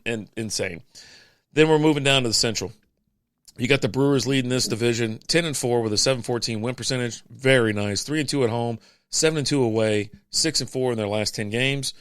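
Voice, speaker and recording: A man hosting a podcast, reading out sports standings in a casual, chatty way with offhand quips, his voice going hoarse. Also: medium pitch, a bit bright, no echo, clean studio sound. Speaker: podcast host.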